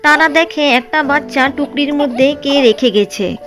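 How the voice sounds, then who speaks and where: A woman narrating a cartoon moral story in a steady, gentle way, with faint music behind. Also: sits high, moderate pace. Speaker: narrator and voice of Seema.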